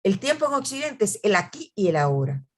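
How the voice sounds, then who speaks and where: A woman's voice: medium pitch, sing-song, brisk. A woman author presenting a book at an academic event, speaking in a hesitant way with many fillers and restarts.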